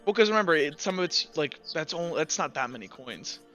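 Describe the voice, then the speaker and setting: Male game master, high bright voice, casual and rambling, often correcting himself mid-explanation. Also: expressive, quick pace, faint music behind. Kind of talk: casual tabletop game narration and table talk.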